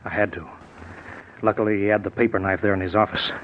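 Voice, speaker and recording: An actor playing a cold, commanding scientist turned killer in a 1940s radio drama, dismissive and sure of himself. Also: male voice, on the low side, steady tone, fast talker, close to an everyday voice. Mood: strained, matter-of-fact. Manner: dismissive, self-assured. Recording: noisy, dull old 1940s radio recording.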